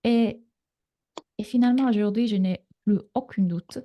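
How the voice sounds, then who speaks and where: A woman's voice: mid-range voice, moderate pace, mellow. A woman in her thirties from Italy with an Italian accent, giving a live online coaching masterclass in a reassuring way with frequent fillers, on a computer mic. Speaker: relationship coach.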